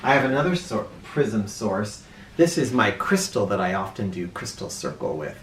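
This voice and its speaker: A man tells a children's story in a roomy, echoing space, some distance from the microphone. His delivery swings widely in pitch, theatrical and animated, leaning on key words with drawn-out emphasis. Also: slight background noise. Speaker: children's read-aloud storyteller. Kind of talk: expressive storybook read-aloud. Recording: roomy echo, distant mic.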